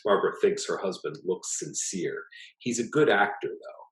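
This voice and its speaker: A man reading a literary short story aloud as its author, in a measured, plain storytelling delivery. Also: lowish voice, lively intonation. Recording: echoey, roomy sound.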